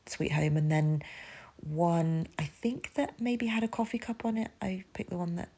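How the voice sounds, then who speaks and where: A woman's voice: fairly low pitch, fairly even intonation, moderate pace, warm tone. A woman, speaking a rambling, chatty voiceover for a planner video, her nose stuffy from a cold. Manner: rambling, self-deprecating, lots of fillers. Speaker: planner vlogger. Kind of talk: casual voiceover narration.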